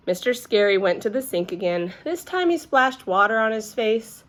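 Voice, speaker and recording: A woman reading a children's story aloud expressively, pausing to chat and ask her listeners questions. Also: on the high side. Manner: animated, asks listeners questions. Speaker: read-aloud teacher.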